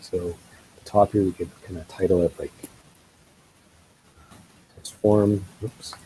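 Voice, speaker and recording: A man's voice: low voice, slow pace, a little hiss or hum, low-quality recording. Congested man walking through a tutorial. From Canada. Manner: relaxed, explanatory, with ums and uhs. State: congested from a head cold. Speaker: school tech trainer. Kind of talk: screen-share software tutorial.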